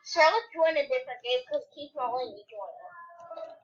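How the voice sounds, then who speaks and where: A woman's voice: high-pitched, normal speed, roomy sound. A young girl in casual, unscripted chat while playing an online game. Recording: dull lo-fi, distant game mic.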